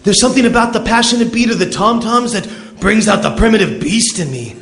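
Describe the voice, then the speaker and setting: A man's voice: sits high, animated, brisk, a bit bright. An actor playing an earnest, lovesick, nerdy teenage boy in a radio comedy, gushing in overly formal phrases. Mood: enraptured. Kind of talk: old-time radio comedy acting. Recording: roomy live stage.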